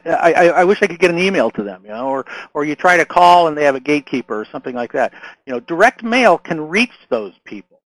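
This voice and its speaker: Hoarse man coaching on marketing. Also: fairly high pitch, a bit bright, no room sound, low-quality recording. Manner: conversational, many restarts and fillers, frequent "right?" and "okay?". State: hoarse, losing his voice. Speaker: marketing consultant. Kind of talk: casual instructional talk to camera.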